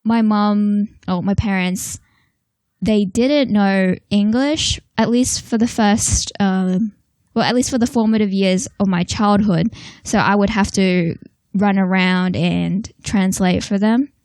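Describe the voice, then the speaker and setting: A woman co-hosting a casual podcast, soft-spoken and thoughtful. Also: medium pitch, slow, dry recording. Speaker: podcast co-host.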